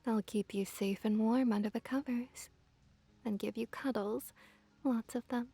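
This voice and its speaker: A woman voicing a gently dominant, doting fox witch in a soft, whispery ASMR roleplay, coaxing and intimate. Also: a little high, steady tone, a little slow, breathy edge. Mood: tender, coaxing.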